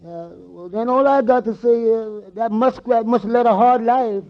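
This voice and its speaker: An actor playing a timid, dim, bumbling sidekick with a heavy, halting comic stutter in a 1940s radio comedy. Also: male voice, very high voice. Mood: doubtful. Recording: muffled vintage 1940s radio broadcast.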